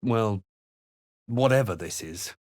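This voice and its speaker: An actor playing a brooding male fantasy adventurer who recounts his journey in a grave, measured, theatrical way for a video game. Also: on the low side, animated, darkish tone, dry recording, clean studio sound. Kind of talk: scripted dramatic narration and game character barks.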